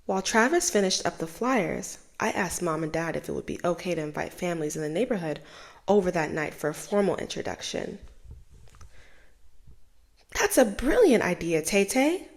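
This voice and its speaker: A young woman host reading a children's book aloud with expressive, animated storytelling. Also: on the low side, a little fast, vocal fry.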